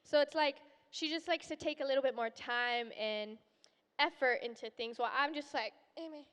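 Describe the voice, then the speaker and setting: A high-voiced young woman in casual on-stage chat, bubbly and quick to giggle. Her voice rings out with the long reverb of a big convention hall. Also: fairly bright tone, clean tone. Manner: bubbly, giggly, talks over her twin. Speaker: guest creator.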